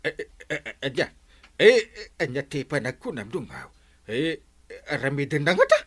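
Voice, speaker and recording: An actor playing an excitable, flustered village captain in a comedy radio drama, sputtering with an Ilocano accent. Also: male voice, on the high side, moderate pace, husky, exaggerated voice. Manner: sputtering, repeats hesitant syllables.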